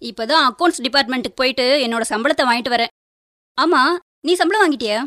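A woman's voice: sits high, animated, fast, pushed and bright, no echo, clean studio sound. A woman playing a chatty, gossipy office colleague in a radio drama, speaking in a familiar way.

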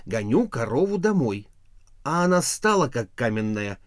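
A man's voice: mid-range voice, very animated, moderate pace, no room sound, clean studio sound, natural voice. A man voicing a naive, easygoing peasant husband who tells boastful stories in a folk tale. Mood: coaxing, storytelling.

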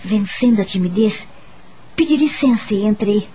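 A woman's voice: medium pitch, animated, normal speed, dark tone, a little hiss or hum, close to an everyday voice. An actress with a Brazilian accent playing a polite, timid young woman teacher who narrates her own story in a radio soap opera. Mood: reflective narration.

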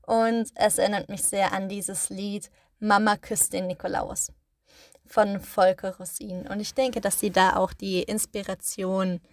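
A podcast host woman talking slowly in a dry, close recording, her voice sitting at an ordinary middle pitch. She explains things patiently and casually, rephrasing herself often with little fillers. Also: no echo.

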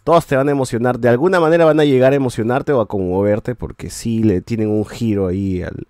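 A man's voice: medium pitch, fast, a little mellow, no echo. Man, casual podcast review, restarts a lot, ends lines with "right?", dry room. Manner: rambling, restarts often, tags sentences with a questioning "right?". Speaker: podcast host reviewing shows.

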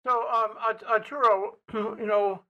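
A man interviewing soldiers, asking questions in a halting way with frequent restarts and throat-clearing. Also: sits high, steady tone, pushed and bright, dull audio.

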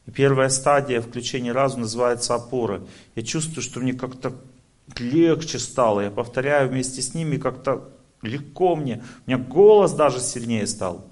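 A man's voice: medium pitch, normal speed, darkish tone. A man speaking as a spiritual lecturer, explaining his teaching step by step to an audience and checking that listeners follow. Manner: didactic, explaining step by step with rhetorical check-ins.

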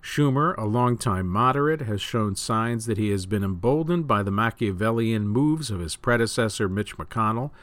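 A man reading scripted news as a host, with a measured, deliberate announcer delivery. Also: on the low side, expressive, a bit bright, no room sound.

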